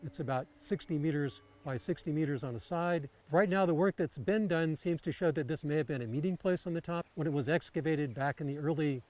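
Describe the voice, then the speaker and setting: A man, an archaeologist, speaking in a documentary interview, calm and explanatory. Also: on the high side. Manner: measured, explanatory. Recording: documentary clip with faint music.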